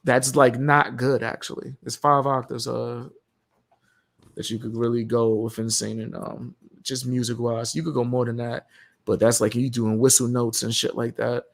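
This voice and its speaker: A man talks through recording tips in a relaxed, slangy way, cursing without thinking. His voice has a gravelly fry at a middle pitch. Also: moderate pace. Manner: laid-back, swears freely, slangy. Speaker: streamer. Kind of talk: casual chat about recording.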